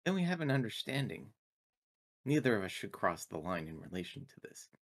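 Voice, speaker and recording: A man's voice: on the low side, big pitch swings. A man voicing a defiant, dutiful female android who speaks formally and firmly in a tabletop game. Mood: firm warning. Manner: formal, firm, deliberate wording.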